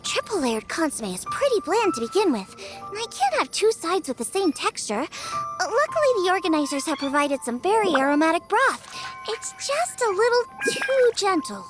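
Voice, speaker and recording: Spirited teen girl chef, extremely high voice, wildly animated, eager, bubbly, video game character. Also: moderate pace, few pauses, music in the background. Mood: musing. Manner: bubbly, thinks aloud to herself.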